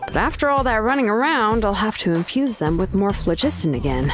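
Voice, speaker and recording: A woman voicing a laid-back, expert female blacksmith in a game cutscene, talking in a relaxed, offhand way with frequent "uh"s. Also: mid-range voice, a little fast, natural voice. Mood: casual. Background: game background music.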